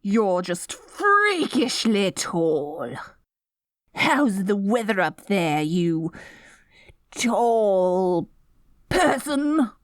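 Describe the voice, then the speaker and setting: Picture a petty, excitable evil queen as a woman acts her out in an audio roleplay. She schemes out loud with theatrical relish, taking her time over the words and swinging widely in pitch. The sound is close and dry. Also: very slow, long pauses, no room sound. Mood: indignant. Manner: melodramatic, gleefully scheming, indignant outbursts. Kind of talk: scripted ASMR audio roleplay.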